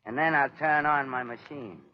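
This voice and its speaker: A man's voice: mid-range voice, moderate pace, close to an everyday voice. An actor playing a gloating mad inventor in a 1930s radio drama, mock-polite and menacing as he savours his cruelty, on a noisy, muffled old recording. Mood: gloating, sadistic.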